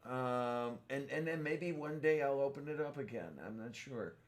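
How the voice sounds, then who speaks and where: A man hosting a casual livestream chat, rambling and halting, his voice hoarse from a sore throat. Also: medium pitch. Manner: rambling, halting, many fillers. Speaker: livestream host.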